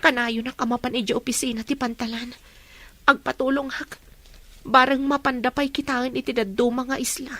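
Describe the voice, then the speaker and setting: A woman's voice: sits high, steady tone, normal speed, bright, forceful tone, dry recording. A woman with a Filipino accent playing a grieving, stubbornly hopeful young woman in a radio drama. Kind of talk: Ilocano radio drama acting.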